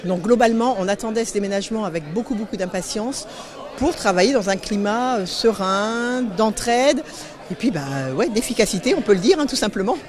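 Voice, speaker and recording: A woman answering interview questions spontaneously as a local official, in short measured phrases with some emphatic repetition. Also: medium pitch, darkish tone, a faint crowd.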